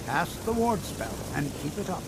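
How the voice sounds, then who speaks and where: An actor playing a calm elderly wizard who patiently and politely teaches magic in a fantasy video game. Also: male voice, a little high, moderate pace, very noisy. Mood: patient, instructive.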